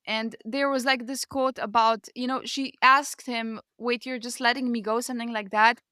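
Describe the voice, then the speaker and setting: A woman's voice: fairly high pitch, steady tone, moderate pace, bright, forceful tone, clean tone, no echo, clean studio sound. A woman co-hosting a casual book discussion, gushing and enthusiastic, with lots of conversational filler phrases. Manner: gushing, enthusiastic, peppered with 'like' and 'you know'. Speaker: podcast co-host.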